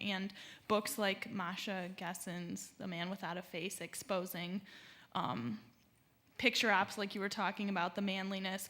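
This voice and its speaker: Woman in the audience, mid pitch, halting question full of ums. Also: a bit bright. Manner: hesitant, halting, with fillers. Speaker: audience member asking a question. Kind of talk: question from the floor.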